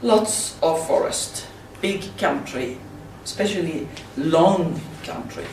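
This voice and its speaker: Swedish lady giving a slow talk, choppy english, far off mic, echoey room, bit of hiss. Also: low voice, lively intonation, darkish tone. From Sweden. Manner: halting, plain non-native English with pauses. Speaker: conference speaker. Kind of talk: slide presentation. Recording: roomy, distant mic with faint hiss.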